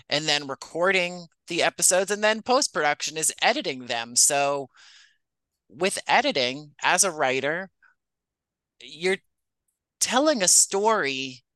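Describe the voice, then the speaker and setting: Bright, clear-voiced man answering interview questions. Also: on the high side, no echo, low-quality recording. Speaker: podcast writer-producer and actor, interview guest.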